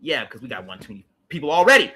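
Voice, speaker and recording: A man's voice: on the high side, huge pitch swings, very fast, bright, forceful tone, husky. A man, hosting a livestream and ranting about politics in a heated, emphatic, repetitive way with blunt swearing. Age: late 30s. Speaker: livestream host.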